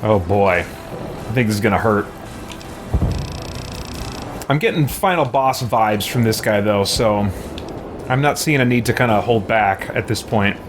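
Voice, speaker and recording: A man's voice: lowish voice, a little slow, pauses often. A man hosting a let's play, thinking aloud in casual commentary as he goes. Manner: thinks aloud, muses to himself. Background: game music.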